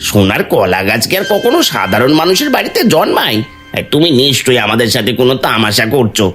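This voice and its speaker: A man's voice: mid-range voice, sing-song, quick pace, music in the background, lightly acted. A man voicing a wily, cowardly and lazy village husband, a reformed thief, in an exaggerated comic cartoon style. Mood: amazed. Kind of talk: Bengali fairy-tale cartoon voice acting.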